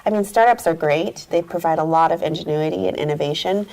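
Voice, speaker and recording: Chatty woman with a gravelly edge. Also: lowish voice, a little fast, warm tone. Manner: upbeat, chatty, laughs easily. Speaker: guest, company executive. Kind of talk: casual interview chat.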